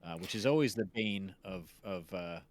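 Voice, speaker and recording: A man hosting a casual chat as an audio drama creator, explaining things in a halting, self-interrupting way. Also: low-pitched. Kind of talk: casual chat about their own show.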